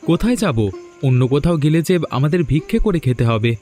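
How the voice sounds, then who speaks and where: A man's voice: fairly high pitch, sing-song, fairly quick, mellow. A man playing a plain-spoken, pushy village farmer in a scripted cartoon story, with music under the voice. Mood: worried.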